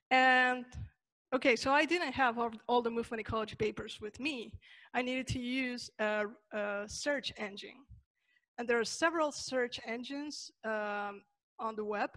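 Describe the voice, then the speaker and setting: A young woman from Peru, a Spanish native speaker with a Peruvian accent, gives an online lecture over slides, pausing often with fillers and restarts. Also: on the high side, fairly bright tone, dry recording, rough recording. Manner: thoughtful, many fillers and restarts. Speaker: webinar presenter.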